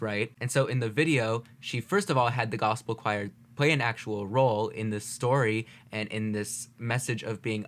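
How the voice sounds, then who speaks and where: A man's voice: fairly low pitch, a bit bright. A man giving casual, analytical reaction commentary, explaining his points articulately in a conversational way. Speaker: video host, music reactor.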